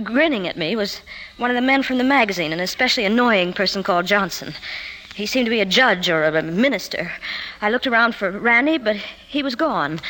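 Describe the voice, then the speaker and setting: An actress playing an anxious, glamorous woman confiding in her psychoanalyst, halting and tremulous in 1950s radio drama style. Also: mid-range voice, pushed and bright. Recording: noisy 1950s radio broadcast recording.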